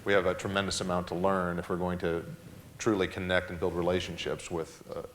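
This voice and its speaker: A man's voice: sits low, little pitch movement, fairly quick, a bit bright, a little hiss or hum. A man who is a school district administrator, answering a question at a town hall panel in an earnest way, with many restarts and repeated words.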